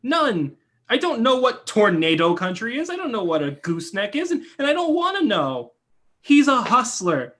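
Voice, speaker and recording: A man's voice: extremely high pitch, very animated, normal speed, a bit bright, full character voice. A man playing an embittered, stammering screenwriter in a play reading, wounded and defiant. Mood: angry, defiant. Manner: stammering, protesting.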